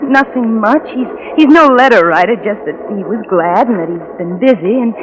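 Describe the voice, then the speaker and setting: A woman's voice: medium pitch, expressive, moderate pace, natural voice. A woman playing an anxious, lovesick and possessive young woman in a 1940s radio drama, emotional and insistent, with hesitations. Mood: devoted, confident. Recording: noisy vintage 1940s radio recording.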